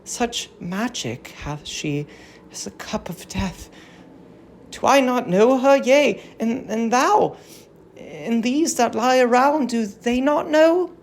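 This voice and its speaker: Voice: mid-range voice, expressive, slow pace, gravelly, a little hiss or hum, pitched above the performer's own voice. A performer voicing a grave, grieving old Trojan queen, declaiming solemn archaic verse in a dramatic tragedy reading. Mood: urgent warning.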